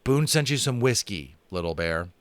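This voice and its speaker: A man voicing an exasperated young boy with a sharp, scolding way of talking. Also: medium pitch, lively intonation, moderate pace, clean tone, dry recording, lightly acted. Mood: coaxing. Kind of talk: audiobook character voice.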